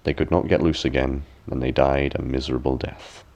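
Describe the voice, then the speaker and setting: Deep-voiced man reading a fairy tale. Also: fairly even intonation, brisk, darkish tone, dull audio. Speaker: storyteller, audiobook reader. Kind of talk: scripted bedtime fairy tale narration.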